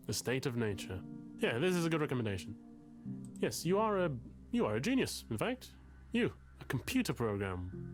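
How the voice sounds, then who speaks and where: A man's voice: medium pitch, close to an everyday voice. An actor playing a self-pitying male writer in a visual novel, musing in a theatrical, florid and anguished way. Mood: grandiose, relieved.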